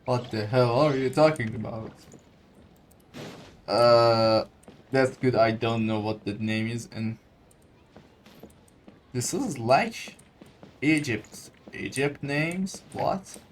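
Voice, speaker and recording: Slow, halting man commenting on a game. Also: medium pitch, slight background noise. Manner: halting, searching for words, mildly profane. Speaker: gaming streamer. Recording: game music behind the voice.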